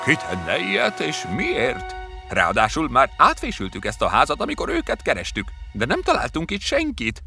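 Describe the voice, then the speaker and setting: An actor voicing a puzzled, formal grown man of authority in a crime cartoon, with a gravelly voice. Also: on the high side, wildly swooping pitch, sharp tone, faint music behind, heavily acted. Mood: questioning.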